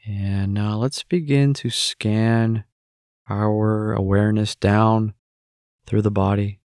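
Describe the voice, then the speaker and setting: A man with a fairly low voice leads a guided meditation. He speaks very slowly, giving gentle instructions and leaving long pauses. The recording is close and dry, with little sense of a room. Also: fairly even intonation, no room sound.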